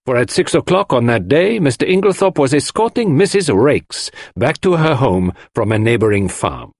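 A man voicing a theatrical, commanding detective, formal and deliberate, in an audiobook. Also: medium pitch, very animated, darkish tone, dry recording, studio quality, voice pushed up. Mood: declaratory. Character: theatrical Belgian male detective, precise, commanding, dramatic. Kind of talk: audiobook character voice.

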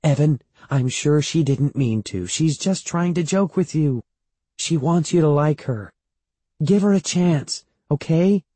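A man plays a storybook mother talking to her son. She is coaxing and firm, reassuring in a lifted, slightly breathy voice. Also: fairly high pitch, animated, unhurried, mellow, no room sound, pitched above the performer's own voice. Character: boy's mother, hushed and coaxing, sternly firm.

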